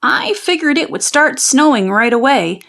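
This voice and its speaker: A woman narrating a children's storybook in an expressive, folksy storytelling manner. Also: high-pitched, moderate pace, gravelly. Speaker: children's book read-aloud narrator. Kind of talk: animated storybook narration of a folksy tall tale.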